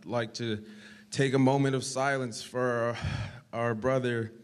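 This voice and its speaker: A man's voice: medium pitch, little pitch movement, measured pace, clear, resonant tone. A man co-moderating a town hall panel, thanking the crowd in a friendly, loose way. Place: community hall with audience. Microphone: handheld mic.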